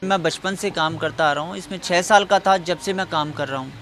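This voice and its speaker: A man's voice: high voice, very fast, a bit bright, soft background music. A man from India, an artisan, answering spontaneously in an interview. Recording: noisy urban location sound.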